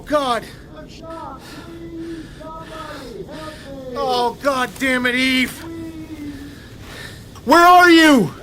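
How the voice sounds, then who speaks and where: High-voiced, earnest frightened man. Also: pushed and bright, slight background noise, heavily acted. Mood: frantic. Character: frightened, awestruck man pleading with a zealot. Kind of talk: naturalistic audio drama acting.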